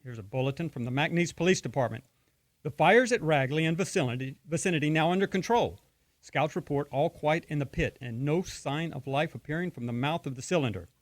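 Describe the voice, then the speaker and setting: A brisk radio newsman played by an actor reads official bulletins in a drama. His voice is fairly high for a man, formal and serious, recorded dry. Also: animated, dry recording, natural voice. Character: brisk, serious male radio news announcer reading bulletins. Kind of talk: radio play acting, news bulletin.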